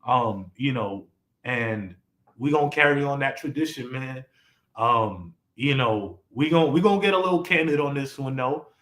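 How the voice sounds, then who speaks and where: A man's voice: medium pitch, normal speed, fairly bright tone. A young man hosting a casual live-stream talk show, rambling and laid-back with lots of fillers. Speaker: podcast host. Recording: echoey room.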